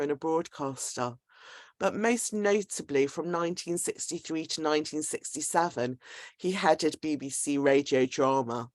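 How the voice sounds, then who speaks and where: A host speaks to an audience in a semi-scripted introduction, setting up the next speaker. The sound is dry and close, with very little room in it, and the pitch sits in an ordinary middle range. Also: no echo.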